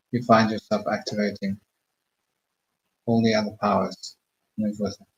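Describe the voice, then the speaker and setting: A man slowly talking listeners through a guided meditation with calm, murmured instructions. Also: lowish voice, low-quality recording. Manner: slow, soothing, murmured instructions.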